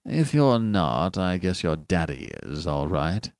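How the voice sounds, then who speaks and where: Storybook tailor's clerk, patronizing and offhand, slow drawn-out lines, voiced by a male reader. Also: deep voice, big pitch swings, a little mellow, clear, resonant tone, no echo, clean studio sound, voice pushed down, exaggerated voice. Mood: hesitant.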